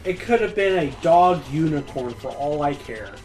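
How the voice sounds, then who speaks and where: A man's voice: a little high, lively intonation, moderate pace, a little mellow. A man chatting casually as co-commentator on a let's play, nitpicking in an exasperated way. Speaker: co-commentator on a gaming video. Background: video game music.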